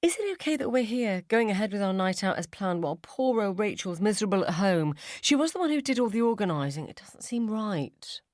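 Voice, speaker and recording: A woman's voice: medium pitch, sing-song, brisk, hardly any pauses, a little mellow, no echo, studio quality, voice pushed up, lightly acted. Woman doing an earnest, fretful school mum. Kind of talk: short story character dialogue.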